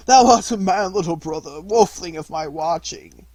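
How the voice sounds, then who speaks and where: A man reading aloud, voicing a dying old male wolf who is proud and weary and speaks in gasping, halting, coughing phrases. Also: sits high, darkish tone, no echo, voice pushed up. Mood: solemn.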